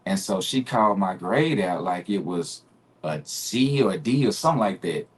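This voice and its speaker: A man in his forties from Arkansas with a Southern accent, a guest telling stories in a relaxed, easygoing way that breaks into laughter. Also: low-pitched, moderate pace, slightly rough. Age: 40s. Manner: relaxed storytelling, laughs easily. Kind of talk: casual interview conversation.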